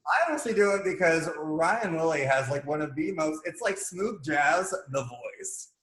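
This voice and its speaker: A man co-hosting a casual fan chat show, talking in an animated, jokey, rambling way. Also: fairly high pitch, normal speed, echoey room. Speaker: podcast co-host.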